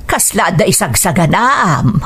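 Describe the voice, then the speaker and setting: A woman's voice: on the low side, very animated, unhurried, a bit bright, slightly rough, full character voice. An actress playing an exacting older mother-in-law in a radio drama, speaking in an insistent, admonishing manner. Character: exacting older mother-in-law, nagging about household duties.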